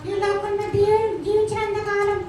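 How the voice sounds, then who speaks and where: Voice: very high voice, monotone, normal speed. A puppeteer voicing an eager, polite, devout childlike puppet in a live children's show, chatty and respectful. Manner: respectful, chatty, keeps addressing "sir". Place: church hall with children. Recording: echoey hall, distant mic, loud noisy crowd.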